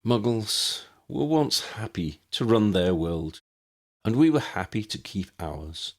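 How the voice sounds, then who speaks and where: A man acting a low-voiced, impassioned wizard demagogue. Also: sing-song, full character voice. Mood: grave, pained. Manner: rhetorical, measured phrases with emphatic stresses and dramatic pauses. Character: charismatic male wizard demagogue rallying a meeting, impassioned and grave. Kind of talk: political rally speech in an audiobook.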